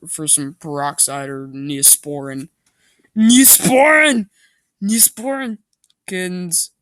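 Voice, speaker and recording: A man playing overwrought teenage characters from an amateur survival story in a hammy, shouty, mock-melodramatic way. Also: high voice, dry recording. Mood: loud excitement.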